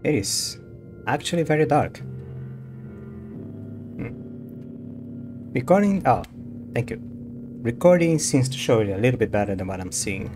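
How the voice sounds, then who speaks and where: A man streaming a game, thinking aloud in a casual way. His pitch is average and music plays clearly behind him. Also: sing-song, measured pace, pauses often. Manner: musing to himself, reading on-screen text aloud. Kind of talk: casual gameplay commentary.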